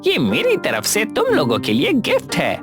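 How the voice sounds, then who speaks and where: A woman plays a kind little alien boy for a children's cartoon. The voice sits fairly low for a woman and swings very widely in pitch, earnest and generous, with music clearly playing behind it. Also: wildly swooping pitch, moderate pace. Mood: reassuring, friendly. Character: friendly young male alien child, generous and apologetic. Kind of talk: animated children's story dub.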